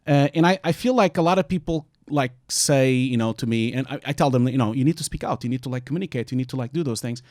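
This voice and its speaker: Portuguese guy hosting a panel chat, earnest and emphatic, fast bursts, lots of uh and like, very dry mic. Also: medium pitch, darkish tone, dry recording. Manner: earnest, emphatic, quick bursts with frequent fillers. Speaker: host of a panel discussion. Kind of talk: casual panel discussion.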